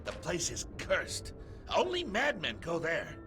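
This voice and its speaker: A shady relic merchant in a video game, furtive and jittery. His voice sits high and jumps around in pitch, with music behind it. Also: wildly swooping pitch, unhurried, full character voice. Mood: nervous, fearful.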